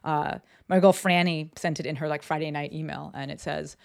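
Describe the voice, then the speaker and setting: A woman's voice: low-pitched, steady tone, moderate pace, vocal fry, darkish tone. A woman talks into a desk microphone for her own podcast. She is blunt and unfiltered, swearing freely. Her delivery is loose and conversational, full of "like" and "right?". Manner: blunt and profane, lots of fillers. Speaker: podcast host. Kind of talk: casual solo podcast talk.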